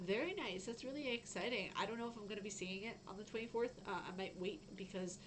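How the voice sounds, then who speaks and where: A young woman with a fairly low voice, chatting casually on a gaming news stream and reading out news, rambling with lots of fillers. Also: fast, background noise. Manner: chatty, rambling, frequent fillers and self-interruptions. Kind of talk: casual live-stream chat.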